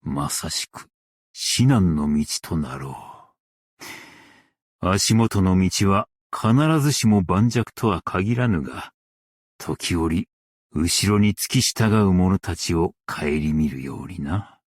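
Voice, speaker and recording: A man playing a principled, stern yet merciful scholar-strategist in a game, speaking in a formal, archaic and measured way. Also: low voice, lively intonation, slightly rough, no echo, clean studio sound, heavily acted. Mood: quiet, reflective. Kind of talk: Japanese game character voice lines.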